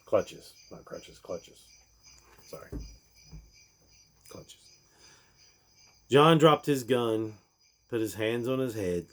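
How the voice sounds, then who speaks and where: A man's voice: mid-range voice, animated, extremely slow, pauses often. A man reading a rhyming storybook aloud in a theatrical, emphatic manner, swelling and then dropping away at the ends of lines. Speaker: reader of a parody Christmas storybook.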